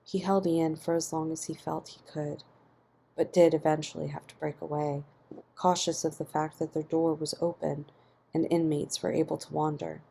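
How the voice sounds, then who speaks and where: A woman's voice: low voice, flat delivery, normal speed, vocal fry, dark tone, slightly rough. A woman reading a fan fiction story aloud as scripted narration.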